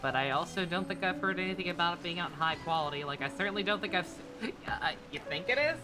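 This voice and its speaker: A woman's voice: on the low side, animated, quick pace. A woman live streaming a video game, chatting casually as she plays. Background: game music.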